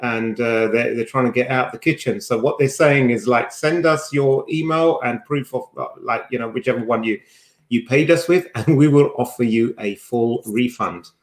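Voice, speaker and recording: A man's voice: mid-range voice, moderate pace. A man co-hosting a podcast, chatting in an informal, teasing way. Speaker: podcast co-host. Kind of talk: casual chat. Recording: roomy, echoing sound.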